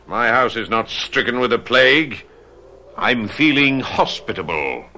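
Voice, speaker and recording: An actor playing a cruel, vengeful middle-aged master of the house in a radio drama, menacing and commanding. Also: male voice, medium pitch, animated, measured pace, noisy background, natural voice. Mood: menacing, scornful.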